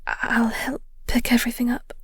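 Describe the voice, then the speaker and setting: A woman playing a flirty, tender English coworker in an intimate audio roleplay, speaking softly and breathily with a gentle, halting, genteel manner. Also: fairly high pitch, little pitch movement, dry recording. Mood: tender, soothing. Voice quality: soft, breathy. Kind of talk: intimate audio roleplay, soft comforting ASMR acting.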